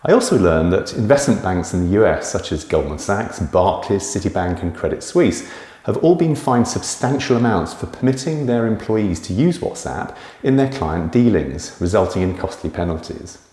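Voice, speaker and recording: A male interview host with a fairly low voice and a polite, chatty manner. He often closes his points with tag questions. The recording has a noticeably echoey room sound. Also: expressive, moderate pace, dark tone. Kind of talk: hosted interview with a scripted intro.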